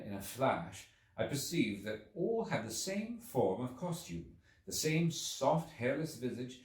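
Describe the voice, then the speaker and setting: Echoey, expressive male storyteller. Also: mid-range voice. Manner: expressive, measured, theatrical delivery with deliberate pauses. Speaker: audiobook narrator. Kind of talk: dramatic literary story reading. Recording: roomy echo, distant from microphone.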